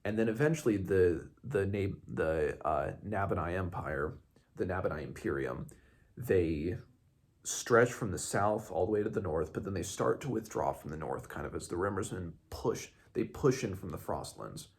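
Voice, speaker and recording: A man with a very low, gravelly voice talks through a book in a casual read-along style. He hosts informally, often stopping to search for the right word and correcting himself as he goes. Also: lively intonation. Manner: halting, searching for words, self-correcting. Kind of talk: casual book discussion with some read-aloud passages.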